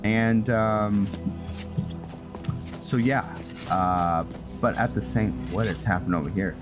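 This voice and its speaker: A man's voice: on the low side, slow. A man giving casual gameplay commentary, rambling and hesitant as he thinks aloud. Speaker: gaming video host; roleplay story writer. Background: music.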